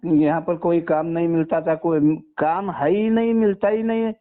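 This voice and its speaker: A man's voice: on the high side, steady tone, moderate pace. A man of sixty-one from Maharashtra, giving a personal account as a caller, deferentially addressing the listener as sir. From Maharashtra, India. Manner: deferential, constantly says sir. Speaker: caller, former soldier. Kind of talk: personal account on a phone call. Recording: tinny narrowband phone call.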